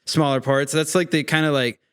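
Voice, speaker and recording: A man speaking as a guest actor in a casual interview, halting and joking as he goes. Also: a little high, fairly even intonation, fairly bright tone, smooth.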